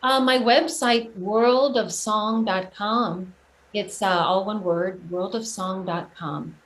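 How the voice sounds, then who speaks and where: A woman giving a casual interview about her own work, speaking earnestly and haltingly as she thinks out her explanations. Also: mid-range voice. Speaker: guest, children's singer and teaching artist. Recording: clear steady background noise.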